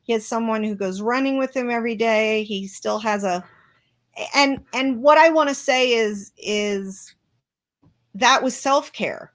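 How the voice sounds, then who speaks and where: A woman's voice: fairly high pitch, a little slow. A woman guest in an interview, speaking earnestly and reflectively with many restarts. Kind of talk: interview conversation.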